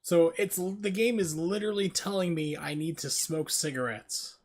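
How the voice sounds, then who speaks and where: A man's voice: a little high, moderate pace. A man giving casual, chatty, amused commentary while livestreaming a video game. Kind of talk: casual gaming stream commentary.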